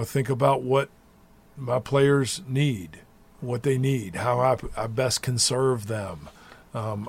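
Gravelly, thoughtful man coach. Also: mid-range voice. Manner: thoughtful, measured, many fillers and restarts. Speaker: college basketball head coach. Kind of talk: reflective interview answers.

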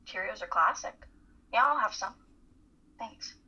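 A woman's voice: medium pitch, expressive, unhurried, sharp tone, husky, highs cut off, exaggerated voice. A woman acting a bossy but caring female college student in a dramatic reading, with a blunt manner. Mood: softening. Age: young adult. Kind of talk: radio-play style dramatic reading.

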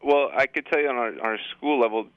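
A man speaking as a school administrator in a radio interview, explaining things in a measured, matter-of-fact way. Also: medium pitch, clear, resonant tone, no room sound, highs cut off. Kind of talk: unscripted radio interview answers.

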